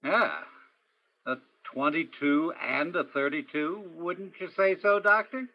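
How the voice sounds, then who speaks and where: A world-weary male police detective played by a man narrates a hardboiled radio drama. He speaks slowly and deliberately, leaning on dramatic pauses. The sound is dull and lo-fi. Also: on the high side. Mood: terse, questioning. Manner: deliberate, dramatic, poetic second-person delivery with heavy pauses. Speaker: narrator and lead actor in a radio play.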